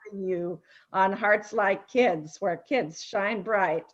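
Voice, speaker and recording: A woman's voice: medium pitch, slow pace, lo-fi sound. A woman hosting a children's read-aloud show, addressing young listeners. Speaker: host.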